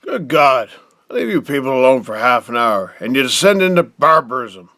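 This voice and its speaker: An actor playing a stern, middle-aged wealthy patriarch in an audio drama, formal, pompous and scolding. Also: male voice, medium pitch, big pitch swings, moderate pace, bright, forceful tone, no room sound, studio quality. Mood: appalled. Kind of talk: theatrical audio drama acting.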